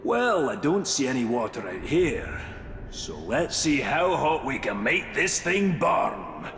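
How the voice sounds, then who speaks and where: An actor voicing a gruff, wry dwarf smith who lives alone, in a video game cutscene. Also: male voice, medium pitch, big pitch swings, slow, fairly bright tone, roomy sound, in a large hall. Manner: dry, wry humor. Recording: game audio with music behind.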